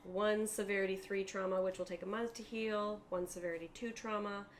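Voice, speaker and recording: A woman's voice: medium pitch, steady tone, a little fast, slight background noise. A woman narrating a tabletop roleplaying game as game master, explaining things in a conversational way.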